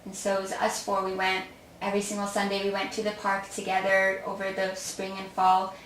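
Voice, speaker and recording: A woman's voice: mid-range voice, monotone, fairly quick, a little hiss or hum. A woman with a slight Romanian accent, Romanian her first language, telling her family story as an interview guest with frequent laughter. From born in Australia, raised in Chicago. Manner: warm storytelling, laughs often. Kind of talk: casual interview conversation. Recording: echoey room, mic at a distance.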